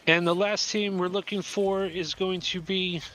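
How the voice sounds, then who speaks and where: A man running an online drone race who calls out teams and lane colors in an unhurried way. His voice is high and bright, and he speaks slowly. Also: fairly even intonation, low-quality recording. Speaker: race director and host.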